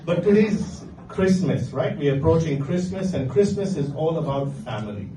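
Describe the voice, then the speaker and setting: Middle-aged Indian man, stand-up, dry deadpan, mellow voice, distant roomy sound. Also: a little high, moderate pace. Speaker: stand-up comedian. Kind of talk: stand-up comedy set. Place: comedy venue with live audience. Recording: echoey room, distant mic, faint ambience.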